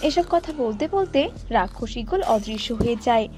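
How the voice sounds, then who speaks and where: A woman reads scripted narration for a cartoon story. Her voice is on the high side. Light music plays behind her. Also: lively intonation, normal speed, a little mellow.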